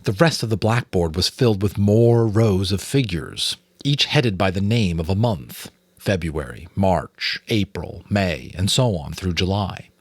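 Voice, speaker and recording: A man's voice: deep voice, lively intonation, a little slow, husky, no echo. A man with an American accent, reading a story aloud in dramatic audiobook narration.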